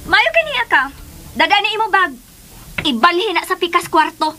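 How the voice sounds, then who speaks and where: A woman playing a jealous, demanding, vindictive lover in a melodramatic radio drama, with a forceful, imperious manner. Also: extremely high pitch, sing-song, normal speed. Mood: threatening. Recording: music under the voice.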